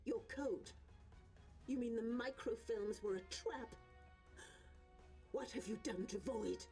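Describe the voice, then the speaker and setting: Haughty evil genius lady for a video game, highish voice, drags words out slow, super scornful. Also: warm tone, faint music behind. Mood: alarmed. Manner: haughty, theatrical, drawling for emphasis. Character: imperious, scornful female villain genius, haughty and impatient. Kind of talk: theatrical cutscene acting.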